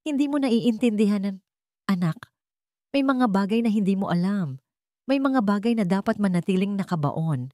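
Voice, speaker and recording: A woman's voice: mid-range voice, animated, normal speed, dark tone, dry recording, studio quality, close to an everyday voice. A woman voicing an anxious, secretive older mother and wealthy matriarch in a narrated story, urgent and pleading.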